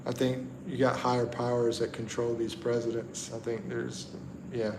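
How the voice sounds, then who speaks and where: A middle-aged man from the Carolinas, chatting casually on a livestream, easygoing and halting with lots of ums and restarts. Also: mid-range voice. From the Carolinas, USA. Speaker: livestream host. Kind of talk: casual livestream chat, reading viewer comments. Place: indoors at home in the Philippines at night. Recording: echoey room with steady background noise.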